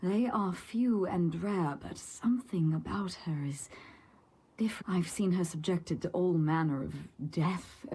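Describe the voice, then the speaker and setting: A woman voicing a composed, guarded guide in a fantasy game, measured and reflective, with a husky, breathy voice. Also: on the low side, warm tone. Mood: pensive. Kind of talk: scripted game voice acting.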